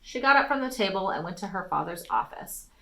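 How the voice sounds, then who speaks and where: A woman reading a fantasy novel aloud as an expressive storytime narration. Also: mid-range voice, fairly quick, gravelly, slight background noise, poor audio. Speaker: storytime reader.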